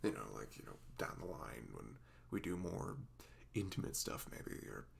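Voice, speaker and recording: A man's voice: low voice, very animated, creaky, slight background noise, full character voice. A man playing a sweet, goofy, flustered young boyfriend in an intimate ASMR roleplay, stammering, apologetic and nervously tender. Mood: shy, eager. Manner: stammering, halting, apologetic, breaking into soft nervous murmurs.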